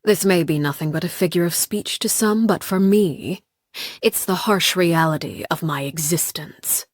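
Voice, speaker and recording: An actress playing a cold, composed, menacing female commander in a video game, speaking in a measured, formal, politely threatening way with a breathy voice. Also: fairly low pitch, dry recording, clean studio sound, close to an everyday voice. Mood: reflective, detached. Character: cold, composed, menacing woman commander called Father by orphans. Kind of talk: scripted video game character voice lines.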